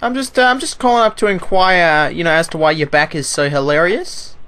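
A young man making a prank phone call in a deadpan, mock-polite way. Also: on the high side, fairly quick, slight background noise. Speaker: prank caller.

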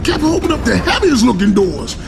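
An actor playing an easygoing, jocular soldier in a video game, speaking in a folksy, wry, casual way. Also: male voice, very high voice, big pitch swings, a little fast, creaky, background noise, heavily acted. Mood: casual recounting. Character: easygoing, jocular soldier and former sports player. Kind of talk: video game cutscene acting and narration. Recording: music behind.

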